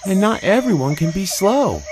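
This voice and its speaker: Man doing an excitable high-pitched young tiger cub. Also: normal speed, background noise. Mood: eager. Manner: giggly, eager, exclaiming.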